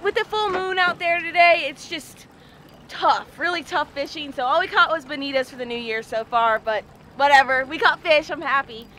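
An American woman in her thirties chatting casually and excitably as a fishing host, recorded outdoors on a small boat. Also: high-pitched, moderate pace, a little hiss or hum. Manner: excitable, exclamatory, informal. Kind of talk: casual vlog chat, explaining to viewers. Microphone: action camera at arm's length or a few feet away.

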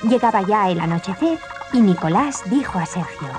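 A woman tells a children's story clearly, with a lively, wide-ranging pitch. Faint music sits under her narration on the old cassette. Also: normal speed.

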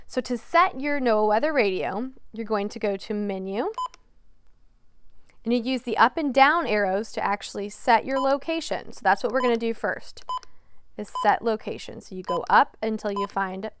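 A young woman, a TV meteorologist, giving a clear step-by-step product demonstration. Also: on the high side, normal speed, fairly bright tone, a little hiss or hum. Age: young adult. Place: TV weather studio.

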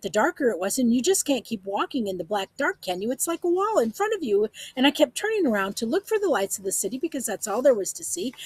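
A woman reads out a shaken, long-faced clerk of a man, his voice weak and trembly as the words tumble out almost without a breath. The voice sits high and strained, leaping up and down through the sentences, with a husky, breathy edge. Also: dry recording, full character voice, low-quality recording. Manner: talks very fast, hardly pausing for breath. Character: frightened rambling grown man, worried clerk.

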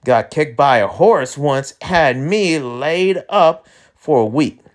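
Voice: mid-range voice, animated. A performer voicing a menacing, mocking cowboy with a folksy drawl. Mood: calm, patronizing. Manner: slow, folksy, taunting drawl.

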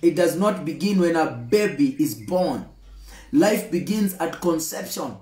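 Kenyan pastor guy in his 30s, emphatic and repetitive, fairly high voice, phone cam in a roomy echoey room. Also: expressive, measured pace, a little mellow. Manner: emphatic, repetitive, gesturing preacher. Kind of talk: livestreamed daily devotional sermon. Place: indoor room with screen and flowers.

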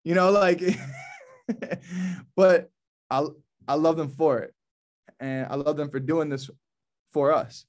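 An American man in his thirties hosting a poetry reading in a casual, colloquial way, often halting and repeating words. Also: on the high side, fairly even intonation. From New Orleans, USA. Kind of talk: casual, informal event hosting. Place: small office, indoors. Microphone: laptop mic on a video call.

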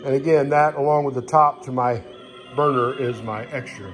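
A man's voice: medium pitch, fairly even intonation, normal speed, soft background music. A man giving a casual, unscripted gear walkthrough in a folksy, matter-of-fact way, recorded indoors on a handheld phone. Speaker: hiker showing gear. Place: indoors in a home living room. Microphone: handheld phone camera, speaker behind it.

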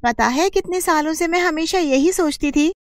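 A woman's voice: very high-pitched, moderate pace, dry recording, clean studio sound. A woman playing a boastful, demanding, newly rich housewife in a cartoon, showy and emphatic. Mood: insistent.

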